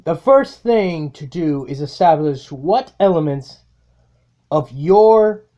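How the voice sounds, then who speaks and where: A man voicing a vengeful, grandiose robot tyrant in an amateur comic dub, theatrical and halting, with sardonic muttered asides. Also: a little high, lively intonation, mellow, muffled recording. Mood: grave, methodical.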